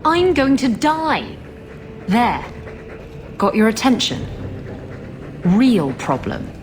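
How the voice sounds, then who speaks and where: A woman's voice: on the high side, very animated, slow, a little hiss or hum. An actress playing a self-interested woman rogue in a video game, cheeky and dramatic. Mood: pleading, cajoling.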